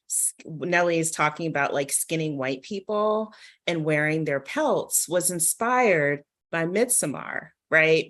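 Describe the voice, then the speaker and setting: A woman poet talking casually on a literary panel, informal and discursive, with playful asides. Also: deep voice.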